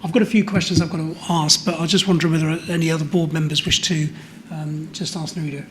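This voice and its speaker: A man chairing a formal meeting, polite and brisk as he thanks speakers and hands over. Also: fairly high pitch, flat delivery, slight background noise.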